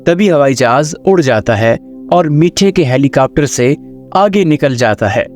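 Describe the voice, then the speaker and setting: A man's voice: on the high side, lively intonation, a little slow, a little mellow, soft background music. A man narrating a scripted children's story as the storyteller.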